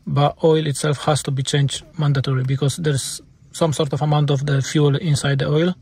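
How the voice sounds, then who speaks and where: Polish-accented man, slightly husky, higher voice. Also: level tone, darkish tone. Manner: halting, non-native English phrasing. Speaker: car mechanic presenting a repair video. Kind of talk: informal hands-on explanation.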